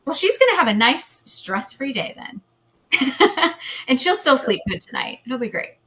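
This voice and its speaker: A woman, a hypnotherapist, leading a guided hypnosis and then chatting as a guest in a calm, gentle way. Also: high-pitched, a little slow, slight background noise. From United States. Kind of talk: guided hypnosis then casual interview chat.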